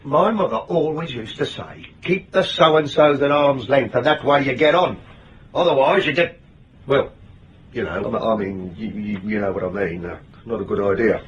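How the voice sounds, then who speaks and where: A man's voice: medium pitch, normal speed, recorded from a distance, highs cut off, a little hiss or hum, close to an everyday voice. A British actor playing a blunt, wisecracking male agency boss in a radio drama, talking in emphatic, colloquial, broken-off phrases. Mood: wry, warning.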